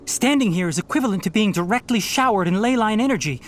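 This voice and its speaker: A man's voice: high voice, animated, quick pace. A man voicing a composed, analytical young male forest ranger and scholar in a video game. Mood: calm. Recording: game audio with faint music.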